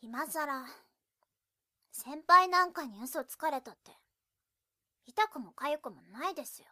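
A woman playing an earnest, scolding high school girl in an audio drama, polite but blunt and nagging. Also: animated, unhurried, pushed and bright, no echo, clean studio sound, exaggerated voice. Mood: cool, reproachful. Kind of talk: anime-like Japanese audio drama acting.

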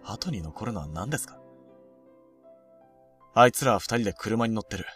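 Slightly distant over background music, a man acts out an earnest high school boy in a romantic-comedy audio drama. His delivery is lively and expressive, with the casual politeness of a junior talking to his senior. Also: normal speed, slightly rough. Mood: bitter, resigned.

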